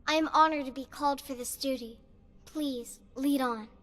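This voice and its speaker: High-voiced, dutiful little girl. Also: steady tone, normal speed, fairly bright tone, soft background music. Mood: honored, eager. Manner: polite, reverent. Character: earnest, dutiful little village girl, chosen priestess. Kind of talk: fantasy video game character dialogue.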